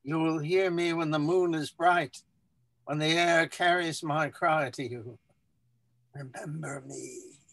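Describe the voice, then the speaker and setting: A man giving a dramatic, measured reading of a literary text. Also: a little high, steady tone, creaky, a bit bright, dry recording.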